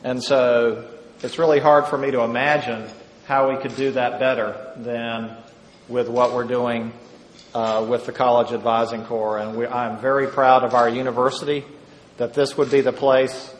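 A middle-aged man with a plain mid-pitched voice talks in a measured, earnest way and sometimes restarts a phrase. The room echoes, with a long reverb and a faint hiss behind him. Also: little pitch movement. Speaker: university chancellor. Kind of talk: promotional interview.

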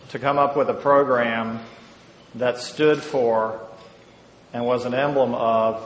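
Middle-aged man, measured, echoey room. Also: mid-range voice. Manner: measured, earnest, restarts phrases. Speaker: university chancellor. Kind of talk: promotional interview. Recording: echoey room with long reverb, faint noise.

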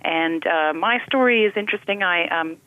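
A woman, a neurologist guest explaining her field in a measured way. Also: fairly low pitch, no echo, narrow, tinny audio. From South Africa. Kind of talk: radio interview.

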